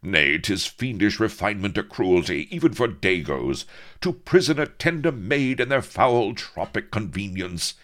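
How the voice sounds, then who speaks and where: A man's voice: high voice, wildly swooping pitch, slow pace, few pauses, a bit bright, voice pushed up, heavily acted. A man voicing a gallant, melodramatic pirate hero in a comic audiobook, florid and declamatory. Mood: anguished, incredulous. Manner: florid, declamatory, archaic speech.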